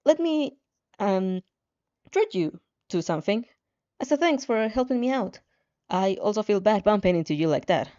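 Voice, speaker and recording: A woman's voice: mid-range voice, expressive, moderate pace, dark tone, dull audio, close to an everyday voice. A woman voicing a flustered, sheepish young protagonist who stammers and pleads insistently in a fan fiction reading. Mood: sheepish insistence.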